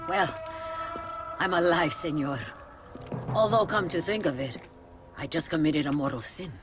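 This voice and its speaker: A woman's voice: sits low, a little slow, over music. An actress playing a composed, wry Mexican Mother Superior in a Western game, speaking articulately with a Mexican Spanish accent and dry piety. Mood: wry, rueful. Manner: wry, articulate, dryly pious. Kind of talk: video game cutscene acting.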